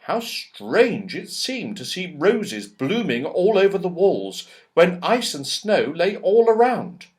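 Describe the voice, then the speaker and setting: A man's voice: high-pitched, sing-song, slow pace, darkish tone. A man narrating a children's story as an audiobook, expressively, with dramatic emphasis and storyteller's phrasing.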